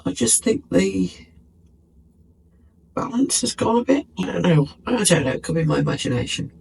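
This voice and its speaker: A man's voice: high-pitched, animated, normal speed, gravelly, darkish tone, slightly rough. A British man chatting casually in a craft vlog, sounding stuffy and bunged up from a lingering cold, musing and poking fun at himself. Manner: chatty, musing, self-mocking. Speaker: craft vlogger.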